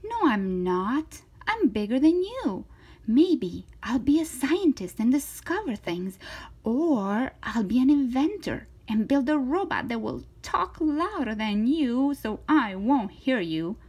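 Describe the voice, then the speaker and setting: Storybook role: bold little girl, played by a woman, eager and defiant, high lively pitch with a slightly husky edge. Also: takes it slowly, heavily acted. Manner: emphatic, eager, boastful. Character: spirited, confident, defiant little girl with big dreams. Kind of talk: children's storybook character voice.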